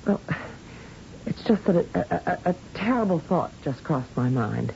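Nervous loyal scientist in old radio drama, halting, mellow, bit of hiss. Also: female voice, deep voice, sing-song, gravelly, dull audio, heavily acted. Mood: anxious. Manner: hesitant, halting. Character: anxious, loyal female scientist and accomplice.

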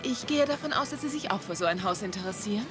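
A woman's voice: mid-range voice, lively intonation, fast talker, music in the background, lightly acted. A woman acting a chatty, polished sales manager with polite patter in a dubbed German TV drama. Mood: polite, salesy.

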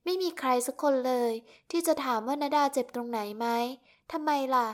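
A woman's voice: fairly high pitch, quick pace, lightly acted. A woman voicing an overworked young woman who apologizes meekly and pleads. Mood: ranting. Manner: pleading, apologetic, rising to outbursts. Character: harried young woman assistant, meek then bursting out.

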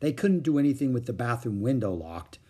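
A man voicing an exasperated older sister who speaks impatiently, in a husky voice. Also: mid-range voice, big pitch swings, a little fast. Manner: impatient and sharp.

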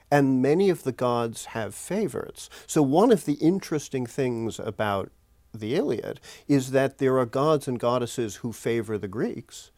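A man's voice: mid-range voice, no room sound, clean studio sound. A man speaking as an erudite guest scholar in an interview, explaining things with careful qualifications and self-corrections.

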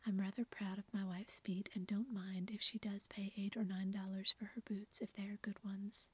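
A woman's voice: mid-range voice, flat delivery, normal speed, husky, lightly acted. A woman whispering the lines of a kind, patient young husband in a storybook reading. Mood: good-humoured. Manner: whispered throughout.

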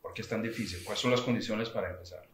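A man hosting a podcast who asks measured interview questions and sometimes restarts his phrases as he goes. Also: fairly low pitch. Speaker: podcast host. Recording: echoey room with faint noise.

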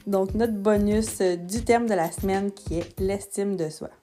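A woman's voice: medium pitch, measured pace, music in the background. Chatty Québécois woman podcast host. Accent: Québécois French. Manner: friendly and conversational, with fillers. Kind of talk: casual podcast interview.

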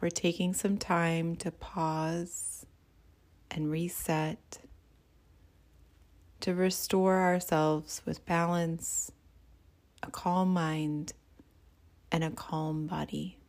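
A woman meditation teacher with a fairly low voice and a gravelly fry at the edges. She guides gently and soothingly, taking her time at a very slow pace. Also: little pitch movement. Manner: soothing, gentle, unhurried guiding.

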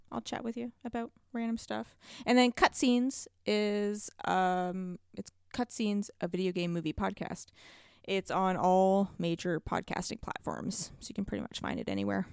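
A woman talks in an easy, conversational way as a guest on a podcast. She is effusive and emphatic, with a medium-pitched voice. The recording is close and dry, with almost no room sound. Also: creaky, clear, resonant tone, dry recording. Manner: effusive, emphatic about favourites. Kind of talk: casual chat.

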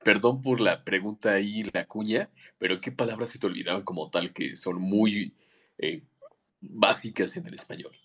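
A man's voice: fairly low pitch, moderate pace, fairly bright tone, husky. A man hosting a podcast, asking long, rambling questions and correcting himself as he goes. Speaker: podcast host. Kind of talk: interview questions.

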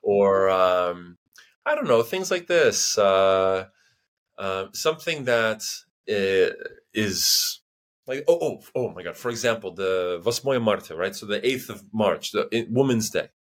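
Sex: man. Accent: American. Pitch: low. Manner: rambling. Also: expressive, measured pace, fairly bright tone. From United States. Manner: rambling, full of "you know" and restarts. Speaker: podcast guest, linguist. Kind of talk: casual conversation.

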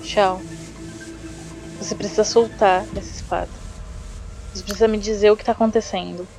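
A woman's voice: mid-range voice, steady tone, normal speed, music in the background, natural voice. Woman playing a concerned, insistent fantasy companion. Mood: worried, insistent. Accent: Brazilian. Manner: questioning, earnest. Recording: dull, slightly lo-fi sound.

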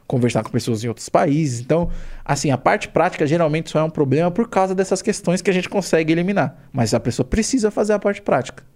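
A man's voice: on the high side, expressive, fast, darkish tone. A man with a Brazilian accent chatting casually as a podcast guest, explaining things informally with slang and repeating points for emphasis.

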